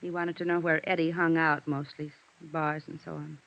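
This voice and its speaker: An actress playing a guarded, strained widow under suspicion in a vintage radio detective drama. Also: female voice, sits low, level tone, moderate pace. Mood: softened, cooperative. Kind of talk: 1950s radio drama acting. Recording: dull vintage radio recording.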